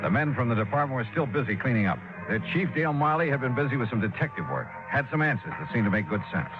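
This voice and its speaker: An actor playing a hard-boiled insurance investigator in 1950s radio, wry and skeptical, with a clipped, sardonic manner. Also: male voice, mid-range voice, lively intonation, fast talker, over music, close to an everyday voice. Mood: brisk, narrating. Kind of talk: 1950s radio detective acting. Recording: dull old radio recording.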